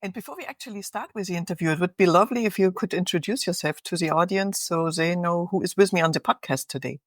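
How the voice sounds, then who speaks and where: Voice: medium pitch, quick pace, a bit bright, dry recording, studio quality. A podcast host who opens the show and asks interview questions in a friendly manner.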